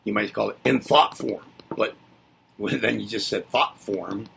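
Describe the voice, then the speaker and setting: A man's voice: fairly low pitch, unhurried, creaky, a bit bright, slightly rough. A man giving a reflective spoken lesson, musing aloud and asking himself rhetorical questions before answering them. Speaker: presenter.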